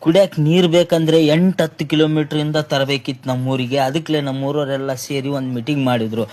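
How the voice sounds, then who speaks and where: A man's voice: a little high, fairly even intonation, a little fast. A man with a North Karnataka accent narrating comic stories colloquially, acting out the dialogue as he goes. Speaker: comic storyteller. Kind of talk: animated-video comic story narration. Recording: faint background noise.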